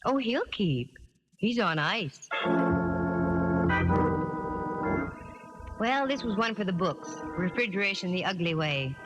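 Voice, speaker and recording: An actress playing a wisecracking, tough American private eye, narrating in a wry, hard-boiled 1940s radio drama style. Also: female voice, medium pitch, animated, normal speed, natural voice. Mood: shrewd, wry. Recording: 1940s radio recording, reverberant, music behind.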